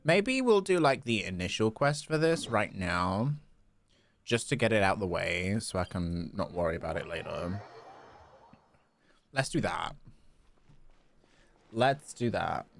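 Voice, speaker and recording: A man's voice: fairly low pitch, lively intonation, a little slow, creaky, pauses often, no room sound. A man chatting casually as he livestreams a video game. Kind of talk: casual gaming livestream chat.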